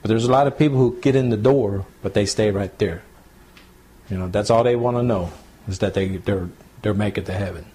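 A man, a pastor teaching scripture to a small home church group in a relaxed, repetitive, conversational way. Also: fairly low pitch, brisk, husky. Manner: conversational, repeats points, addresses group as y'all. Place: small home gathering, recorded to CD.

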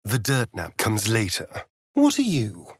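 An actor playing a sly, vain vampire rogue in a video game, with a silky, theatrical, mocking drawl. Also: male voice, lowish voice, huge pitch swings, a little slow, husky, no room sound, studio quality, exaggerated voice. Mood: sardonic, amused. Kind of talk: video game character voice lines.

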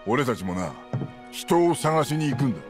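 An actor playing a rough, warm-hearted fighter in a Japanese video game cutscene, speaking in blunt masculine slang. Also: male voice, mid-range voice, big pitch swings, moderate pace, close to an everyday voice. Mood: low, reflective. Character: rough, warm-hearted tough male fighter, loyal comrade. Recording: music behind the dialogue.

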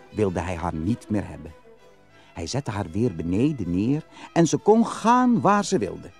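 Animated, mellow male storyteller. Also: on the low side, creaky. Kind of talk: expressive fairytale narration. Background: music.

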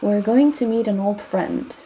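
A woman's voice: mid-range voice, measured pace, dark tone, slightly rough, low-quality recording. A woman playing a bold, teasing pirate captain in an audio roleplay, speaking in a cocky, flirtatious manner. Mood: sly, businesslike. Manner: cocky, flirtatious, addressing listener with pet names.

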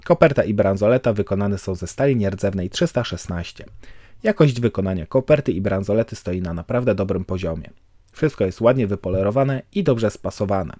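A man giving a calm, measured voice-over for a watch review, explaining technical details. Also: lowish voice, no echo, clean studio sound.

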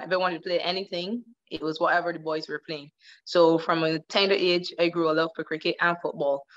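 Low-voiced woman on a dull video call. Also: a bit bright. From Barbados. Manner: thoughtful, repeats words while searching. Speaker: cricketer guest. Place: indoor room at home.

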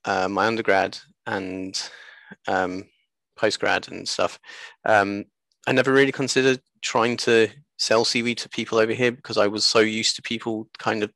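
A man in his thirties talking casually as an interview guest, telling anecdotes in a halting way over a video call on a headset. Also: on the low side, steady tone, creaky, fairly bright tone, no echo. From born in Japan, later moved away. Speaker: interview guest, seaweed business co-founder. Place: small room at home, video call.

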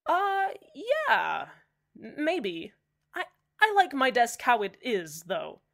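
A woman's voice: on the high side, big pitch swings, takes it slowly, creaky, a bit bright, exaggerated voice. A woman playing a polite, uneasy male community radio host in a radio drama, hesitant and placating.

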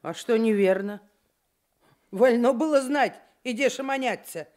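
An actress playing a curt, sharp-tongued village landlady with grumbling, colloquial speech in a radio drama. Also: fairly high pitch, a little slow, close to an everyday voice. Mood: grumbling, irritated. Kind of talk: Soviet radio drama acting.

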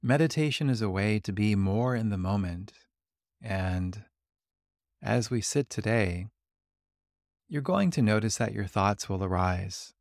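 Male meditation guide: lowish, creaky voice, calm and gentle delivery, leaving long pauses between instructions. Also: dry recording, clean studio sound. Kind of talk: guided body scan meditation.

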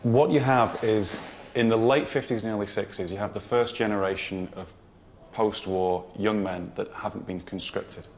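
A man interviewed as a historian, speaking in a deliberate, analytical way. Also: lowish voice, fairly even intonation, a little hiss or hum.

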